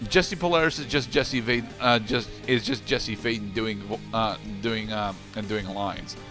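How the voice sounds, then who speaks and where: A man co-commentating on a game in a measured, hesitant manner, often pausing to find his words. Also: on the low side, steady tone, over music. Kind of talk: casual game commentary chat.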